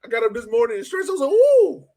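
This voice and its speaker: A man's voice: very high-pitched, huge pitch swings, fast talker, crowd noise. A man in his thirties hosting a casual podcast chat in a joking, teasing manner. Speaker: podcast host.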